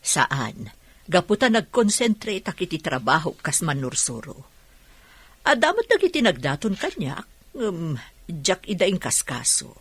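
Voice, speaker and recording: Longing elder sister, audio drama; husky, with swooping intonation. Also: female voice, fairly low pitch, sing-song, unhurried, no echo, heavily acted. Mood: wistful, tender. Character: wistful older sister longing for lost love. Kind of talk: radio drama acting.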